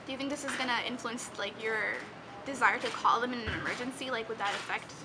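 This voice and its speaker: A woman's voice: on the high side, steady tone, fast talker. A young woman interviewing a student, asking prepared questions in a casual, hesitant way full of "like" and "okay". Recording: background noise.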